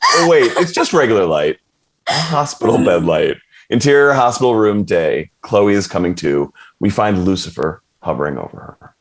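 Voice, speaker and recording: A man's voice: fairly low pitch, sing-song, normal speed. A man reading stage directions as scripted narration for a dramatic table read.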